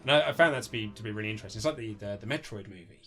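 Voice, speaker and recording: A British man being interviewed as an author, chatting casually in a rambling, animated way and often acting out quoted remarks. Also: racing. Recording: low-budget recording with music underneath.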